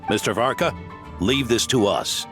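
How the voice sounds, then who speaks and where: An actor playing a formal, courteous and earnest soldier in a video game. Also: male voice, medium pitch, unhurried, over music, lightly acted. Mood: resolute.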